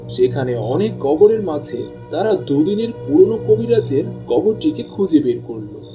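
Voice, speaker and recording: A man's voice: a little high, big pitch swings, normal speed, over music. A man reading scripted storytelling narration as the narrator of a tale.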